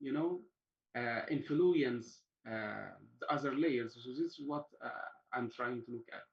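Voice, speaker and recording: A man presenting at an online academic conference, speaking slowly and hesitantly with many fillers. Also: medium pitch, gravelly. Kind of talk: answering questions at an online conference. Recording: muffled distant call audio with faint noise.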